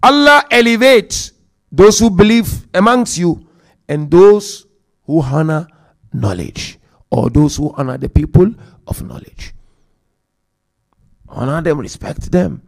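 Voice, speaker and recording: Guy giving a sermon at a mourning gathering, kinda high and gravelly, loud then quiet. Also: lively intonation. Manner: counts points aloud, chants Quran verses quickly; swings between loud emphasis and quiet asides. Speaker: Muharram majlis speaker. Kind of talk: religious lecture.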